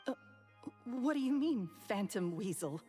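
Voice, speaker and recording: A woman voicing a gentle, wistful grieving widow in a fantasy video game, soft-spoken and reflective. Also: medium pitch, expressive, unhurried, music in the background, close to an everyday voice. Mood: puzzled.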